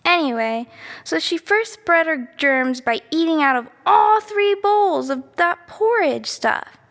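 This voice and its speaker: A woman's voice: very high voice, animated, slow pace, fairly bright tone, heavily acted. A woman playing a chatty, easily sidetracked little girl who rambles through a bedtime story with naive asides and self-corrections. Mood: earnest, chatty. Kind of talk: children's storybook monologue. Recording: long reverberant room echo.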